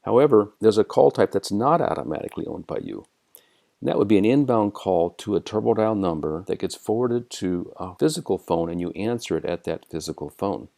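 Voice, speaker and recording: An American man narrating an instructional software screencast in a methodical, step-by-step way. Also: fairly low pitch, animated, brisk, creaky. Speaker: software tutorial presenter.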